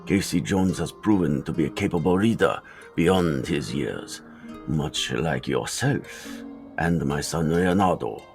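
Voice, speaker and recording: Man playing old male mutant rat sensei, deep voice, solemn, formal, authoritative, motion comic drama, strong background music. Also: animated, a little slow, heavily acted. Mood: grave, measured. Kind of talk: motion comic fan dub acting.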